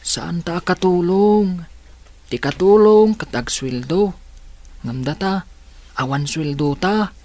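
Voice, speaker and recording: A woman's voice: lowish voice, takes it slowly, pauses often, dark tone. A woman playing a tired, resigned orphan. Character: weary older orphan sibling, resigned. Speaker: radio drama actor. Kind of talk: radio drama acting.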